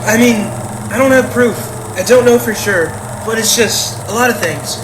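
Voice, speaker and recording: An actor plays an uneasy, doubtful grown child in a naturalistic audio play. The delivery is hesitant and conversational, with trailing restarts. It sounds roomy and echoey, over clear background noise like a steady hum. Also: medium pitch, normal speed, close to an everyday voice. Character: uneasy, doubtful grown child who suspects dad of murder. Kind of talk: naturalistic audio drama dialogue.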